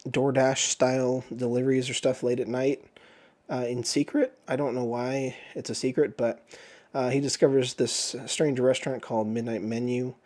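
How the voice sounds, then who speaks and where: A man hosting a book review channel, chatting casually while sick with the flu, his voice a bit off, often clearing his throat. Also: mid-range voice, little pitch movement, normal speed, darkish tone. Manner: rambling, frequent throat clearing and filler words. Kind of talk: casual chat.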